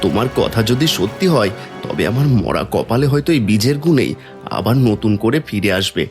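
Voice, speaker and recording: A man's voice: fairly low pitch, lively intonation, a little fast, a little mellow, soft background music. A man voicing a poor, kind-hearted village farmer, plaintive and earnest, in a cartoon folk tale. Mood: earnest, hopeful. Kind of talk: Bengali cartoon fairy tale acting.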